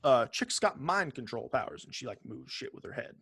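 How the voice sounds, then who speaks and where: A man's voice: medium pitch, big pitch swings, a little fast, fairly bright tone, breathy edge, no echo, clean studio sound. A man co-hosting a podcast, chatting casually with some swearing as he runs through a ranked list. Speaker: podcast co-host. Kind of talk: casual chat, reading out a ranked list with commentary.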